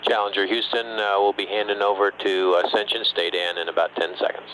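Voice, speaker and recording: A man working as a mission control communicator, giving measured procedural instructions over the radio. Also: on the low side, level tone. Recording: radio link with faint background hum.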